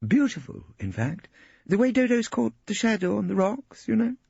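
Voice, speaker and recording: Tart, proper middle-aged wife, voiced by a male reader; pitched well up, dull lo-fi sound. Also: big pitch swings, normal speed, creaky, a little mellow, slightly rough, no room sound, full character voice. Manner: prim, tart.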